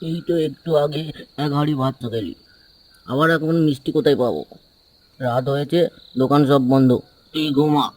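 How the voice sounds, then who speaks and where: A man's voice: fairly high pitch, steady tone, a little fast, long pauses, darkish tone, natural voice, poor audio. A man voicing a lazy, headstrong and naive young village son in a cartoon. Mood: exasperated.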